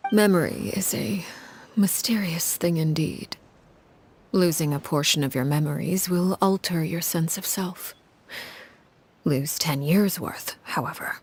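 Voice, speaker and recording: Low-voiced, coldly composed crime matriarch. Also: female voice, a little mellow, breathy edge. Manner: measured, formal, menacing composure. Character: cold, stern woman, a deadly household patriarch called Father. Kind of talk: video game character dialogue.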